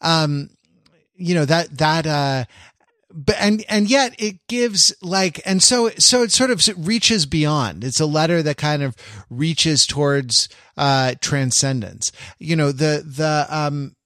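A man co-hosting a casual podcast, talking in a halting way with repeated false starts as he works out his thoughts. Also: a little high, expressive, fairly bright tone, no echo, studio quality. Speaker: podcast co-host. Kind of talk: casual conversational podcast chat.

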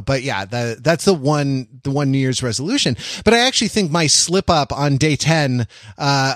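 A man chatting casually as a podcast co-host, thinking aloud in a halting, reflective way and often interrupting himself. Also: fairly high pitch, a bit bright, no echo, studio quality. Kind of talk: casual panel chat.

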